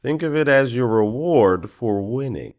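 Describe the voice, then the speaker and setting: A man's voice: medium pitch, expressive, measured pace, noisy background. An actor playing a tough, teasing male combat trainer in an audio drama. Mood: smug, approving.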